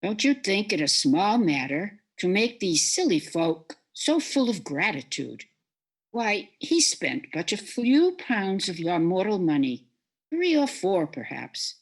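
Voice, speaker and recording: A woman voicing a calm, questioning spirit in an amateur radio play read over a video call. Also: mid-range voice, slow pace, heavily acted. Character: gentle questioning spirit, female.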